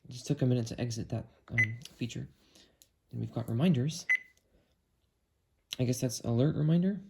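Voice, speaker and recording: A man's voice: mid-range voice, creaky. Man casually reviewing a gadget, noisy recording. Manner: unhurried, thinking aloud while testing. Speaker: video creator demonstrating a weather radio. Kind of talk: casual hands-on review narration.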